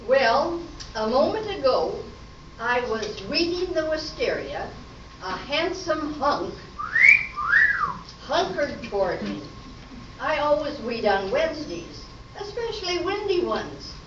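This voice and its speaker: Chatty suburban witness woman acting, high theatrical voice, stage radio play, distant and noisy. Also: big pitch swings, slow, heavily acted. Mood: earnest, theatrical. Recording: echoey, distant, muffled room recording, clear noise.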